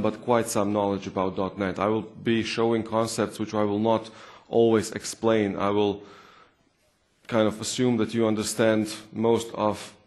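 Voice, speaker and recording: A man from Croatia with a Croatian accent presents live to a technical audience, informally, often posing questions and repeating words for emphasis. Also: lowish voice. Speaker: conference presenter, software consultant and trainer.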